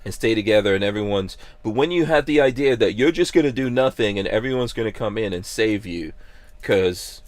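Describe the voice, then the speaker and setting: A man's voice: fairly low pitch, brisk, dry recording. A man from Guyana hosting a podcast, talking emphatically in casual opinion chat and firing rhetorical questions. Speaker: podcast host.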